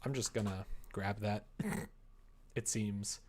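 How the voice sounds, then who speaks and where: A man's voice: on the low side, slow pace, darkish tone, slight background noise. A man chatting casually on a live stream, rambling and self-amused as he thinks aloud. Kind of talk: casual chat on a live creative-writing stream.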